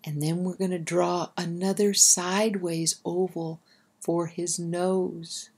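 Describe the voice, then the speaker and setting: A woman's voice: on the low side, little pitch movement, slow, darkish tone, slightly rough. A woman reading aloud to young children and guiding them in simple, instructive language, speaking directly to the listener. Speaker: storybook reader and drawing guide.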